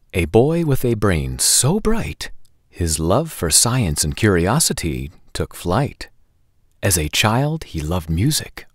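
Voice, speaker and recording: A man reads a picture book aloud for children. His pitch rises and falls dramatically in a sing-song way, and he takes his time with each phrase. The sound is close and dry, from a lapel mic. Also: slightly rough, dry recording. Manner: deliberate, animated, sing-song delivery. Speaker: children's storytime host. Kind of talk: expressive read-aloud narration for children. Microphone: lapel microphone.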